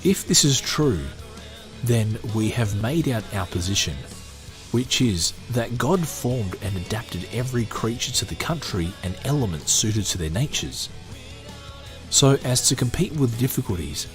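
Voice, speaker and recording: A man hosting a podcast, chatting with many hesitations, then reading a book aloud in a steady, measured way. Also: medium pitch. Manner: hesitant, filler-heavy chat between measured reading. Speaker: podcast host. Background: faint guitar music.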